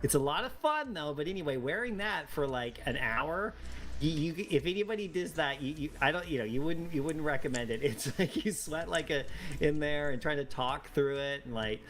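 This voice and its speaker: A man's voice: fairly high pitch, expressive, normal speed, a bit bright. A man chatting casually and enthusiastically as a livestream co-host, rambling along in a loose, easygoing flow. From Canada. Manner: enthusiastic, rambling, chuckles often. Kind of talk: casual chat.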